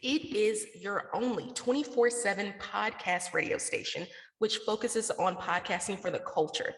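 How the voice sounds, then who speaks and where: Reverberant echoey recording, woman, mid pitch, casual interview guest, candid explanations. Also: moderate pace. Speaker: interview guest, podcaster and radio station founder. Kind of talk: conversational interview answers. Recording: echoey room with long reverb.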